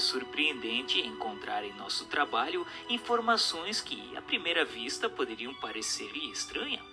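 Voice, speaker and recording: A man reading scripted narration in a formal, measured, didactic way. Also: on the high side. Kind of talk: scripted audiobook-style narration. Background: music.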